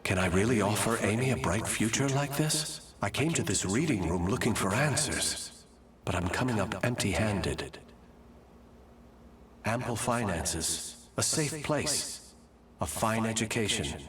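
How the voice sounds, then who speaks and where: Refined, expressive nobleman swordsman. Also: fairly low pitch, breathy edge, exaggerated voice. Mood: brooding, intrigued. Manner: formal, eloquent, measured diction. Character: refined, devoted swordsman nobleman, courteous yet scheming. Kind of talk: video game cutscene acting.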